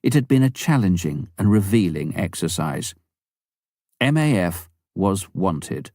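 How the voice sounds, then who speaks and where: A man with a low-pitched voice narrates a memoir as an audiobook reader. His intonation moves up and down in a lively way, and the sound is close and dry, with very little room in it. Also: unhurried, dry recording.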